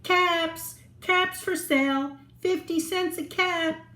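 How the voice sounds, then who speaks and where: A woman's voice: very high-pitched, fairly even intonation, slow, pushed and bright, reverberant, voice pushed up, exaggerated voice. A woman voicing a male street peddler who chants a call to sell his caps in a storybook. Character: adult male cap peddler calling his wares.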